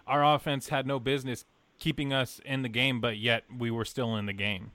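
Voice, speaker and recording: A man with a mid-pitched voice and a dry, close sound. He co-hosts a sports podcast, chatting casually and crudely with his friends. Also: flat delivery, moderate pace, sharp tone, no room sound. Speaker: podcast co-host.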